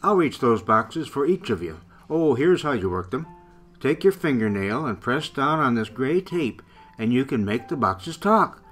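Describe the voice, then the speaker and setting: A man's voice: mid-range voice, big pitch swings, moderate pace, fairly bright tone, faint music behind. A man playing a cheerful father of young children in a radio play. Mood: jovial.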